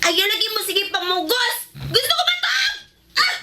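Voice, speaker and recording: An agitated, defiant young woman in a radio drama. Her shrill voice is extremely high and petulant. It comes from a distance with clear noise around it. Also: big pitch swings, moderate pace. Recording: distant, noisy room sound.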